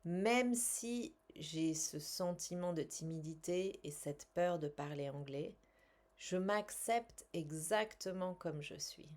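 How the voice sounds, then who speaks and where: Woman, lowish voice, very unhurried pace, instructional, short repeated phrases, guided tapping coach. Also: darkish tone. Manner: measured, instructional, with pauses between short phrases. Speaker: tapping coach and language teacher.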